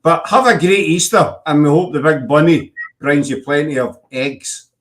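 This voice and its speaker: Joking Glaswegian man, higher voice. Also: moderate pace, a bit bright. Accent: Glaswegian Scottish. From Scotland. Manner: jokey, teasing, uses Scots words. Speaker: podcast host. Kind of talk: casual chat.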